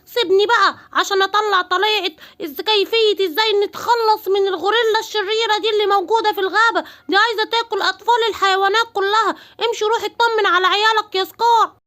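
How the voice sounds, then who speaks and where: Woman as a shrill, excitable cartoon parrot. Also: fast, hardly any pauses. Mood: bossy, urgent. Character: excitable boastful male parrot, self-styled problem solver. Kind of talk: children's cartoon story.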